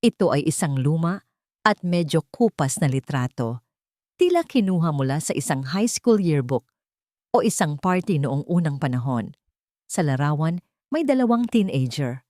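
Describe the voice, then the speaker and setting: A woman's voice: sits low, sing-song, normal speed, dark tone, dry recording, studio quality. A woman reading a scripted dramatic story as a storyteller.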